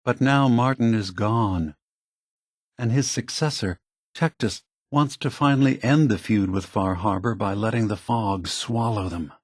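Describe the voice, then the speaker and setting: Grave, low-pitched old android leader. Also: male voice, breathy edge, no echo, studio quality. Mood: measured, concerned. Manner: measured, grave, deliberate. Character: calm, remorseful old synthetic android leader, male. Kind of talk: video game voice acting.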